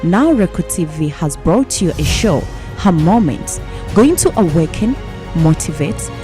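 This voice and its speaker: Lively low woman announcer over music. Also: unhurried. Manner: declamatory. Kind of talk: scripted promo voice-over.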